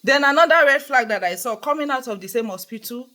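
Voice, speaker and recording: A woman's voice: high-pitched, lively intonation, quick pace, bright, forceful tone. Nigerian-accented woman, young adult, earnest vlogger, emphatic, repeats points. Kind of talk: casual storytelling monologue.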